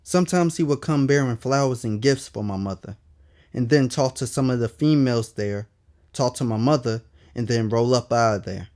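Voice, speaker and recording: A man's voice: mid-range voice, moderate pace, a little mellow. A man narrating his own autobiography as an audiobook, in a candid, colloquial storytelling manner with emphatic asides. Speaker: author reading own autobiography.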